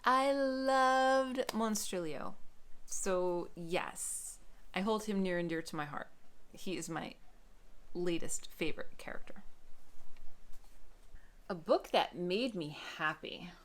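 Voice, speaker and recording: Lower-pitched woman chatting casually. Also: slow, long pauses. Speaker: book vlogger. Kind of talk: casual chat to camera.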